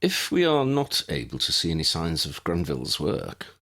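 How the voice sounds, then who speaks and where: A man voicing a courteous, pedantic old gentleman scholar in an audiobook, wordy, formal and precise. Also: sits low, wildly swooping pitch, moderate pace, full character voice. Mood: measured, precise.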